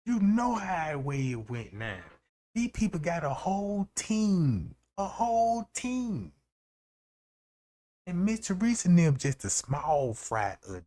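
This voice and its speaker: Stream host, male, unhurried and warm-toned, casual rambling commentary. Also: high voice, big pitch swings. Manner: loose, slangy and repetitive, often asking "you know what I'm saying". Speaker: livestream host.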